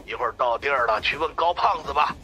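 A man's voice: mid-range voice, quick pace, sharp tone, pitched above the performer's own voice, close to an everyday voice. A man voicing an impatient, brusque senior monk consultant in an audiobook.

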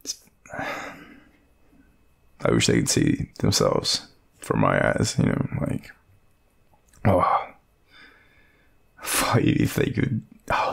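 A young Australian man playing a shy, lovestruck friend in an intimate romantic audio roleplay, speaking hesitantly in a deep, gravelly, breathy murmur. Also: exaggerated voice. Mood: wistful, smitten.

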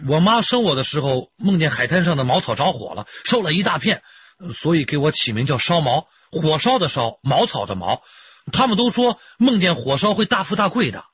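An actor playing a rough, boastful, lovestruck fisherman questioned by police in a radio drama, folksy and rambling with a husky voice. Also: a little high, sing-song, brisk, fairly bright tone, dull audio, full character voice. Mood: boastful, chatty.

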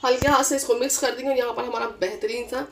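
A woman with a Delhi-area Hindustani accent talking calmly through a recipe step by step, as a cooking channel host. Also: a little high, fast, gravelly. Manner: calm instructive, repeats "here" and "this way".

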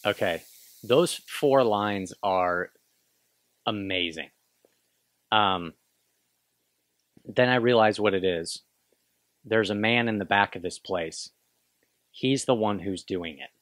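A man's voice: fairly low pitch, slow pace, pauses often, a bit bright, no echo. A man in his thirties hosting a casual film breakdown, talking in a rambling, hesitant way into a close microphone in a small room. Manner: rambling, hesitant, full of restarts and "kinda". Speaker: film review host. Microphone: studio microphone close in front.

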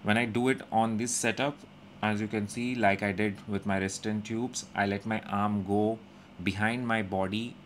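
A young man calmly narrating his gym workout as a voice-over, explaining each step with frequent pauses. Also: fairly low pitch, slight background noise. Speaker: fitness vlogger. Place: indoor gym.

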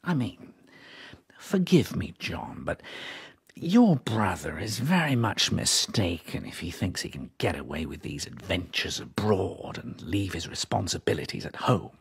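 An actor playing a wily medieval French king, breathy and insinuating, coaxing with questioning turns in an audio drama. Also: deep voice, sing-song, unhurried, full character voice. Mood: confiding, sly. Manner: insinuating, punctuates points with a questioning yes.

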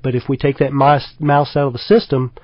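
Relaxed middle-aged man from Kentucky. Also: mid-range voice, brisk, no room sound, highs cut off. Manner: easygoing, thoughtful, lots of fillers. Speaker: wildlife biologist guest. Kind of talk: radio interview.

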